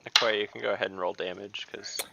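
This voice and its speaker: A man's voice: lowish voice, fairly even intonation, fast, creaky. A man chatting casually as a player in an online tabletop game. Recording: dull, slightly noisy mic with clicks.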